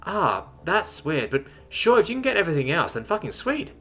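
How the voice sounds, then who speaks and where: A man's voice: a little high, very animated, fast talker, bright, forceful tone, soft background music, pitched above the performer's own voice, heavily acted. A man voicing a blunt, roguish human woman spacefarer with a casual, cocky, sweary manner in a story. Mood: pleased, casual.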